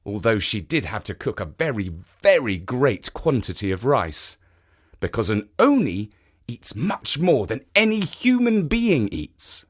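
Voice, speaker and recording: A man narrating a children's story in an expressive, theatrical storytelling manner. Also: mid-range voice.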